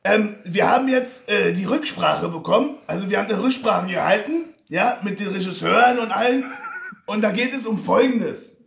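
An officious, bumbling caller with an extremely high voice that swings animatedly up and down. He stammers and repeats himself earnestly. The room echoes around him, with faint noise. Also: expressive, normal speed, gravelly, a bit bright, heavily acted. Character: earnest, bumbling high-voiced German male TV production contact. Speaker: prank caller. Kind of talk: prank phone call. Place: living room sofa, indoors. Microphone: camera at short distance in room.

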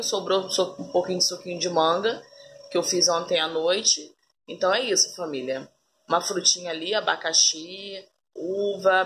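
Woman in her thirties from Brazil, chatty home vlogger, mid pitch, noisy phone recording. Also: fairly bright tone. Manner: chatty, keeps calling viewers "família". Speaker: family vlogger. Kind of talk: casual home vlog narration. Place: home kitchen and dining room.

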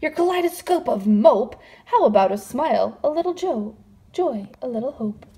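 A woman's voice: high voice, expressive, normal speed, mellow, breathy edge, exaggerated voice. A woman voicing a squirmy, slightly impolite female squid in a storybook read-aloud, teasing and pushy.

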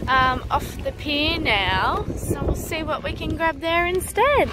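Chatty young Australian woman, high voice. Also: expressive, slow pace, noisy background. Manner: chatty and giggly, talks to the camera. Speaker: vlogger. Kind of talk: casual vlog narration. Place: outdoors on a windy jetty. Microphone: phone held at arm's length. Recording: loud background music.

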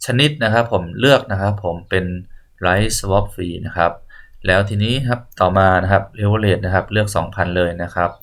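A man with a low-pitched voice talks through an on-screen tutorial. He speaks politely and haltingly, taking the listener through each step as he goes, without a script. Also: fairly bright tone. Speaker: tutorial presenter. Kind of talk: spoken software walkthrough, unscripted.

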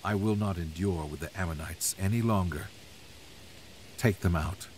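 A man voicing a gentle but resolute king who speaks softly to his men. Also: low voice, normal speed, a little hiss or hum, close to an everyday voice. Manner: soft-spoken, quiet and gentle.

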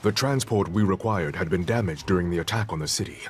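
An actor playing a noble superhero king who narrates his quest in a grave, formal, measured way for a video game. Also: deep voice, lightly acted. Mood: solemn, proud. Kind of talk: scripted dramatic game narration. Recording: music behind the voice.

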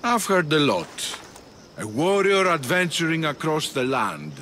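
A persuasive older arena patron in a game cutscene, measured and dramatic. His voice is bright and lively in pitch, his pace unhurried. Faint outdoor ambience sits behind him. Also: a little hiss or hum, heavily acted. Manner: measured, coaxing, dramatic pauses. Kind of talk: video game cutscene acting.

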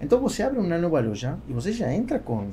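A man in his forties with a Spanish accent in Portuguese, talking casually and haltingly as a podcast guest. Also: a little high, huge pitch swings, warm tone. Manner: halting, with repeated words. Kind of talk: casual interview talk. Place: small foam-padded podcast studio. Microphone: close studio microphone on boom arm. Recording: faint background noise.

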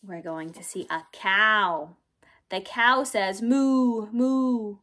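A young woman teaching and reading aloud to small children in simple, deliberate phrases. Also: on the high side, bright, forceful tone. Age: young adult. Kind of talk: children's read-aloud lesson.

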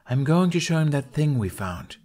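A man's voice: fairly high pitch, big pitch swings, normal speed, a little mellow, pitched above the performer's own voice. A man voicing a bold, commanding young Viking warrior woman in an audiobook, speaking in a strong, assertive manner. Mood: insistent.

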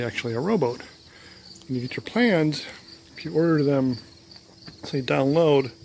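A man filming himself in his home garage, explaining a canoe build in a casual, relaxed way with plenty of ums and uhs. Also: medium pitch, slight background noise. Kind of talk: casual, unscripted workshop vlog. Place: home garage and driveway, outdoors at times.

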